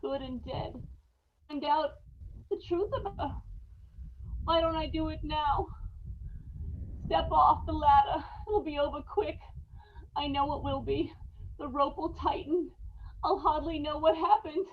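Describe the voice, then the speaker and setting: A woman's voice: sits high, fairly even intonation, unhurried, long pauses. A woman playing a terrified, guilt-ridden wife losing her grip, in old-time radio horror style, dramatic and obsessively repetitive. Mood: fearful. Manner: dramatic, haunted, repeating words obsessively. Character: terrified, unraveling young farm wife, guilt-ridden and paranoid. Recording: dull lo-fi live recording with background noise.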